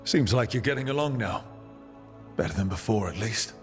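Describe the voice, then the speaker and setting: An actor playing a steady, resolute team leader in a fantasy video game, measured and commanding. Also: male voice, on the low side, close to an everyday voice. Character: steady, resolute male hero leading a team. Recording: music behind the voice.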